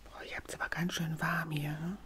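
A middle-aged woman in a gentle, unhurried whispered ASMR roleplay, speaking softly and breathily close to the microphone. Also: low voice, little pitch movement, slight background noise. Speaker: ASMR creator playing a customer in a drugstore roleplay.

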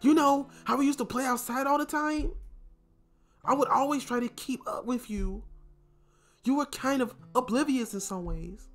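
A man voicing a bubbly, clumsy teenage anime schoolgirl in a high falsetto with a sweet, childlike, sing-song delivery. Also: normal speed, fairly bright tone. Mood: wistful.

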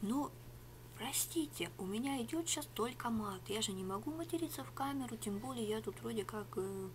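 A woman's voice: on the high side, animated, moderate pace, a little hiss or hum. Rambling whispering woman reviewing a product. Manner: rambling, sarcastic, alternates whisper and soft voice. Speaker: ASMR vlogger. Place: small home room with rug on wall. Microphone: webcam at arm's length.

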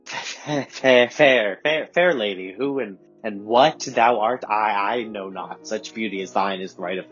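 A man playing a humble, bashful young shepherd in an audio drama, speaking archaic storybook English with a hesitant, halting manner. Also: fairly low pitch, fairly bright tone, dull audio, soft background music. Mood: bashful, stammering.

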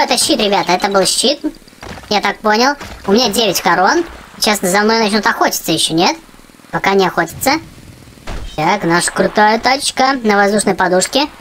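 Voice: mid-range voice, fairly bright tone, a little hiss or hum, low-quality recording. A gaming channel host giving casual, exclamatory gameplay commentary for children, addressing viewers as friends. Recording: music behind the voice.

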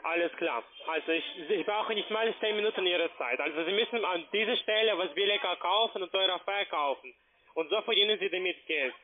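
A man from Slovakia with a foreign accent, not a native speaker, giving a halting, formally polite telephone sales pitch in broken grammar. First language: not German. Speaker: investment phone salesman. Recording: narrow-band, tinny phone line.

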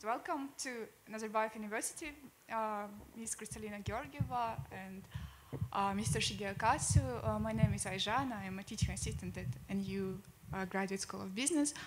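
A young woman asks a question at a public event. She is hesitant and halting, with a medium-pitched voice and some background noise in the auditorium. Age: young adult. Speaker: audience questioner, teaching assistant. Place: auditorium, standing in audience. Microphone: microphone on stand.

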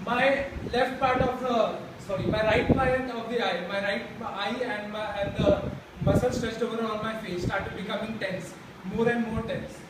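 A man's voice: high-pitched, fairly even intonation, normal speed. A young man who stammers and restarts words, giving a personal speech to a support group in a classroom. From India. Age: young adult. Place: classroom with a chalkboard. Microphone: far camera. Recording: echoey room from far off, background noise.

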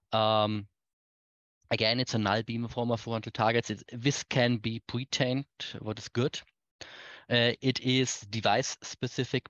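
A man giving a technical keynote presentation over a video call, explaining things in halting, hesitant phrases. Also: lowish voice, fairly bright tone, dry recording. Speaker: conference speaker. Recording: dull, muffled lo-fi online-call audio.

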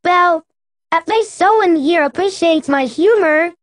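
A woman's voice: very high-pitched, a little slow, dry recording, clean studio sound. A woman voicing a silly, playful little male animal in a children's learning game. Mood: joking. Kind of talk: children's educational game acting.

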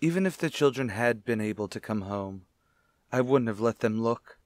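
A man's voice: medium pitch, expressive, moderate pace, no echo, clean studio sound, lightly acted. Anguished, melodramatic widowed father played by a man. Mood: grieving, evasive. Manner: melodramatic, distraught. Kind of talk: old-time radio play acting.

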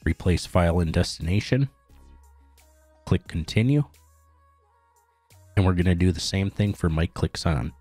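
Male tutorial narrator, very low and mellow, plain instructional delivery. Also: expressive, a little slow, pauses often, no echo, soft background music. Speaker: tutorial host. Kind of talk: step-by-step software tutorial narration.

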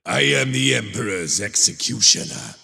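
A man playing a gruff, grim warrior of a wolf legion in a video game, menacing and declamatory, with gravel in his voice. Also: on the low side, animated, moderate pace, bright, forceful tone, big-room sound, exaggerated voice.

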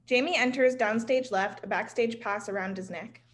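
A woman's voice: on the high side, moderate pace. A young woman playwright introducing her play and reading out stage directions. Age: young adult. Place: indoor room, speaking at a table and over video call. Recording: clearly noisy room sound.

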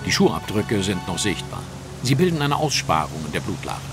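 A brilliant Victorian detective in an audio drama. The voice is on the low side and very animated, analytical and commanding, with music behind it. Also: male voice, a little fast. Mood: analytical, assured. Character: brilliant, brisk, self-assured male Victorian detective. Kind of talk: German audio drama acting.

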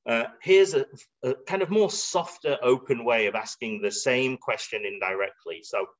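A man's medium-pitched voice comes through somewhat dull video-call audio. He presents in an informal, explanatory way, conversational and slightly hesitant, as if working out his thoughts while speaking. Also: moderate pace. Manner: conversational, hesitant, thinking aloud with rhetorical examples. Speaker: webinar presenter. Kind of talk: informal explanatory webinar talk with slides. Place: indoor room.

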